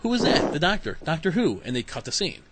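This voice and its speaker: A man's voice: a little high, big pitch swings, fast talker, very noisy. A man hosting a casual podcast, chatting eagerly and questioning his guests with frequent restarts. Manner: enthusiastic, asks guests questions, stammers restarts. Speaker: podcast host.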